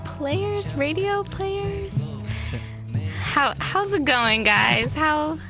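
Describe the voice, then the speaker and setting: A woman's voice: sits high, lively intonation, drawn-out words, over music. A woman hosting a casual radio interview, talking in an informal, curious and enthusiastic way. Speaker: college radio host interviewing guests. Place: college radio studio.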